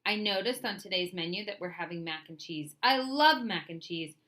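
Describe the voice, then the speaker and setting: A bubbly new schoolgirl from a picture book, gushing with bright, eager chatter, as a woman reading aloud plays her. Her voice sits high and sparkling. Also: moderate pace.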